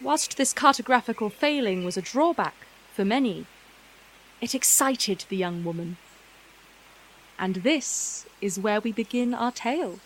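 Woman narrating a fairy tale, noisy background. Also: medium pitch. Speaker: storyteller narrator.

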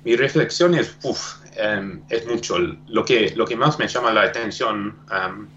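A man's voice: on the low side, unhurried, a bit bright, dull audio, slight background noise. A native English-speaking man with an Argentine-tinged Spanish accent, talking as a documentary producer, hesitant and searching for words. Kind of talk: remote podcast interview. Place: home studio.

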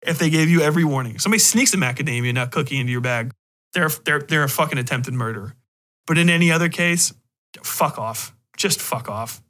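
Male podcast host, higher-pitched and bright, opinionated, sweary, emphatic casual delivery. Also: fairly quick. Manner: profane, emphatic, blunt.

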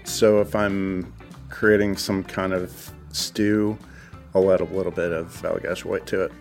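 A man with a lower voice speaks casually in a brewery advertisement. Faint guitar music plays quietly behind him. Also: flat delivery, measured pace, gravelly. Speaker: brewery spokesperson. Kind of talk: ad testimonial.

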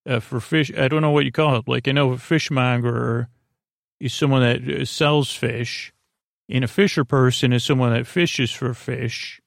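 A man hosting a podcast with a meandering, halting bedtime monologue, often losing his thread as he goes. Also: medium pitch, gravelly, dry recording, studio quality. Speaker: podcast host. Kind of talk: rambling, improvised bedtime monologue.

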